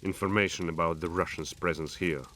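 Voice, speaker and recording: An actor playing a bitter, weary resistance fighter in a game, speaking in a sardonic, guarded way. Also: male voice, sits low, fairly even intonation, brisk, bright, forceful tone, a little hiss or hum. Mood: weary, resigned. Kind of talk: scripted military game dialogue.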